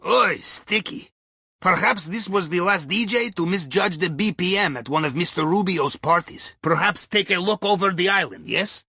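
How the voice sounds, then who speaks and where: An actor playing a wry, chatty Russian heist planner in a video game, speaking accented broken English in a droll, folksy way. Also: male voice, sits high, lively intonation, moderate pace, creaky, bright, forceful tone, husky, dry recording, clean studio sound, full character voice. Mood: wry amusement. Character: wry, jovial Russian submarine captain's heist planner, male. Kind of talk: video game mission dialogue over radio.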